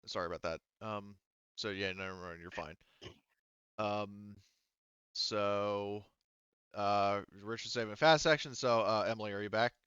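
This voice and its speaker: A man's voice: lowish voice, a little slow, long pauses, a bit bright, clear, resonant tone, no echo, dull audio. A man speaking casually as a tabletop game master, thinking aloud hesitantly as he works through the rules.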